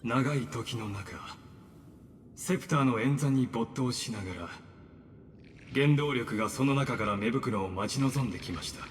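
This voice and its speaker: A man voicing a calm, polite and calculating male villain in a game dub. He speaks in a formal, measured way. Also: mid-range voice, a little hiss or hum, natural voice. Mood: courteous, cold. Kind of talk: Japanese game dub acting. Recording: game audio with reverb and faint music.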